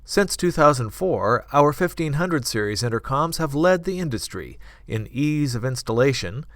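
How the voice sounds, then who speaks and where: A man reading scripted corporate product narration in a measured, clearly enunciated manner. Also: mid-range voice, animated, a bit bright, no room sound, clean studio sound. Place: dry studio voice-over recording.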